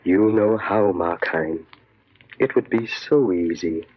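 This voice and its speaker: Deep, husky sinister tempter. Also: male voice, no room sound, exaggerated voice. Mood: sinister, taunting. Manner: insistent, insinuating, repeats phrases slowly and knowingly. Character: sinister inner voice, insidious male tempter urging crime. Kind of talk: 1940s radio horror acting. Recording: dull, lo-fi old radio recording.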